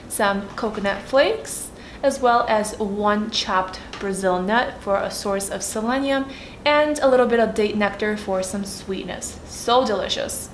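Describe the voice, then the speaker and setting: A woman's voice: a little high, reverberant, a little hiss or hum. A young woman with a Polish accent mixed with Chicago, chatting casually as a vegan lifestyle vlogger. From Poland. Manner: friendly, chatty, with fillers. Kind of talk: casual cooking vlog with voice-over.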